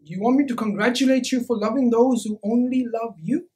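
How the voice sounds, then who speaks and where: A woman performing a theatrical monologue as an impassioned preacher, speaking deliberately and emphatically with dramatic pauses. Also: on the high side, warm tone, close to an everyday voice. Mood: quiet, earnest. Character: impassioned preacher urging a crowd to love.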